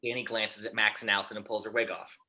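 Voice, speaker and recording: A man's voice: fairly low pitch, flat delivery, fast, pushed and bright. A man reading stage directions aloud as the narrator of a script.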